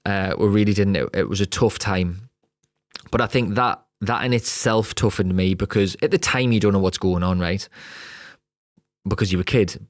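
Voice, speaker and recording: A man's voice: deep voice, dry recording, clean studio sound. Blunt Geordie man in his thirties. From Newcastle upon Tyne, England. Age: 30s. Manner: blunt, streetwise, laughs mid-sentence. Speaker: podcast host. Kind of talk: candid personal storytelling.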